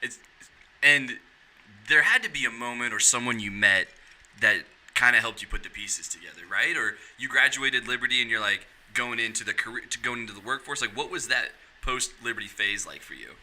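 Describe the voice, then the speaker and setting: Male host, encouraging interviewer, rapid pace, very bright tone. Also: mid-range voice, rough recording. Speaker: podcast host.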